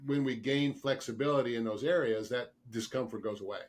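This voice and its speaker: A man speaks as a guest expert in an interview, explaining things earnestly. His mid-pitched voice is slightly rough as he gets over a cold, and he repeats words for emphasis. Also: brisk. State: getting over a cold, throat clearing and coughing. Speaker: guest expert, dentist specializing in dental sleep medicine.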